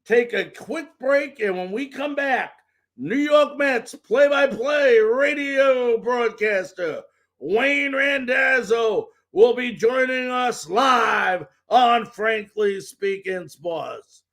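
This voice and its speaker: High-pitched man hosting a sports show. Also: takes it slowly, a bit bright.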